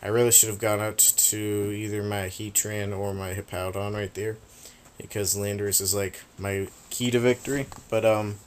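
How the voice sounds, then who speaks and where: A man talks through a video game match in a loose, rambling way, tossing in casual swears. His voice sits a little low. It is turning raspy after a long stretch of talking. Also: little pitch movement, moderate pace, a little hiss or hum. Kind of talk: casual gameplay commentary.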